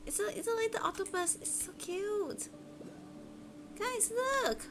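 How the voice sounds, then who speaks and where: A woman livestreamer reacting to a game and chatting casually to viewers in an excitable, gushing manner. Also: extremely high pitch, a little slow, noisy background.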